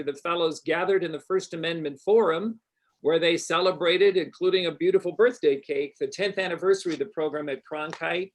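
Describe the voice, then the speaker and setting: A man hosting an online graduation ceremony for a university journalism school, with a warm, upbeat, formal delivery. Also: fairly high pitch, rough recording. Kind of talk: formal welcoming address.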